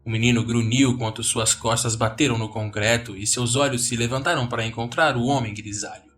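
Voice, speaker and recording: Brazilian guy reading creepypasta, dramatic delivery, mid-pitched voice, music underneath. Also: fairly even intonation, a little fast, a bit bright. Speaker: horror story narrator. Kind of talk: dramatic scripted horror narration.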